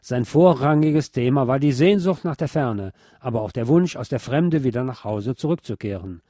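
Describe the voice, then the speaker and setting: A man reading a scripted cultural essay aloud in a measured, formal, literate manner. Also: medium pitch, animated, mellow, no echo, studio quality. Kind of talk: scripted spoken essay on pop history, read aloud.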